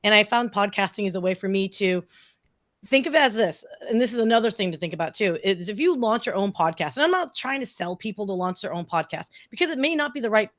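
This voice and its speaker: Middle aged woman on a podcast, casual and chatty, really into it, medium pitch. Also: expressive, fast. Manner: enthusiastic, chatty, emphatic, checks in with "right?". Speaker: podcast guest, podcast producer. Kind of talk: casual conversational interview.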